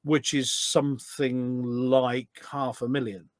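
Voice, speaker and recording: A man with a middling pitch talks casually as a podcast guest. He is chatty and a bit rambling as he tells anecdotes. The recording is very dry, with hardly any room sound. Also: flat delivery, slow pace, no echo. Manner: chatty and rambling, acts out quoted remarks. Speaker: podcast guest and community radio show presenter. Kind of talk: casual chat, telling anecdotes.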